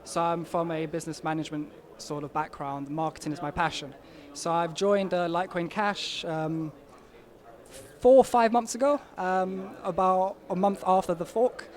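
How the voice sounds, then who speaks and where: High-voiced man, eager pitch. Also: fairly even intonation, normal speed. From Albania, raised in London. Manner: eager, insistent, emphatic claims. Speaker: marketing lead, interviewee. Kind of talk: casual interview pitch.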